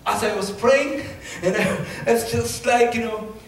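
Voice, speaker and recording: A middle-aged man with an Ethiopian accent preaching a sermon with personal stories, in emphatic, halting phrases that he often repeats. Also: extremely high pitch. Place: large church sanctuary, indoors. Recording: echoey church hall, distant mic, faint noise.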